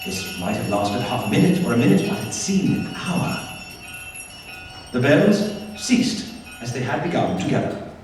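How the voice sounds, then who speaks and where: A man acting as narrator of a staged radio play, telling a ghost story with suspenseful, deliberate phrasing. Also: medium pitch, big pitch swings, darkish tone, soft background music. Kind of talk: dramatic scripted narration. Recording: echoey hall, distant mic, faint noise.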